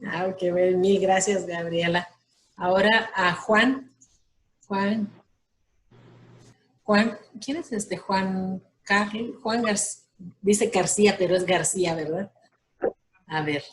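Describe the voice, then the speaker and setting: A woman's voice: mid-range voice, steady tone, takes it slowly, long pauses, poor audio. A woman leading an online class, politely calling on each participant and thanking them. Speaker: class leader, catechist.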